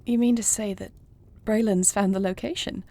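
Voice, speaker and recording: Woman acting soft, mellow fantasy queen, slightly creaky. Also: mid-range voice, steady tone, normal speed, close to an everyday voice. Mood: intrigued, pleased. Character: affectionate, dutiful dragon queen, newly wed to a princess. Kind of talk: intimate fantasy audio roleplay.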